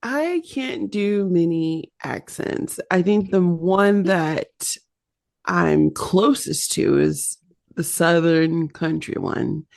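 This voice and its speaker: A woman's voice: fairly low pitch, big pitch swings, slow, vocal fry, darkish tone. A woman with a Midwestern accent, co-hosting a casual pop-culture podcast and laughing often. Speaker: podcast co-host.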